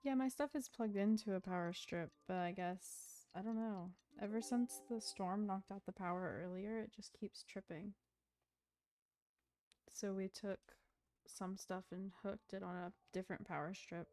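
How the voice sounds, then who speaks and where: A woman's voice: mid-range voice, steady tone, measured pace, mellow. A woman giving casual live commentary as she streams a video game.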